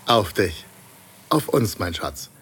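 A man playing a low-voiced, devoted romantic husband. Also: very animated, slow pace, a bit bright, slightly rough, heavily acted. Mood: affectionate. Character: devoted, romantic husband, affectionate and gently commanding. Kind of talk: intimate erotic audio drama acting.

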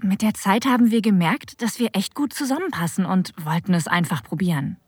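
A woman playing a flirtatious, adventurous narrator of an erotic audio drama, with a breathy voice that often drops toward a whisper. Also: medium pitch, expressive, a little fast, a little mellow, dry recording, clean studio sound. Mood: wry, fond. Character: flirtatious, adventurous woman telling her own erotic story. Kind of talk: intimate first-person scripted narration.

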